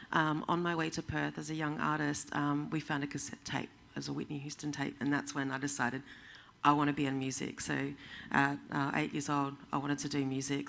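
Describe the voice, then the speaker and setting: A woman from Australia with a low voice and a gravelly, creaky edge. She introduces herself casually, giggling now and then and halting over many fillers. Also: a bit bright. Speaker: guest musician.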